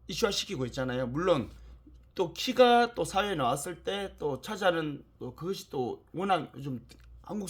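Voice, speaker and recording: A man's voice: on the high side, slightly rough. A man lecturing in an explanatory, emphatic way, repeating key points and asking rhetorical questions.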